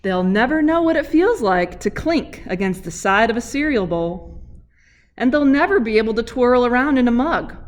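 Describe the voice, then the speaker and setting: An American woman voicing a gentle mother spoon in a storybook, soothing, patient and reassuring. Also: a little high, animated, normal speed, pitched above the performer's own voice, lightly acted. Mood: tender reassurance.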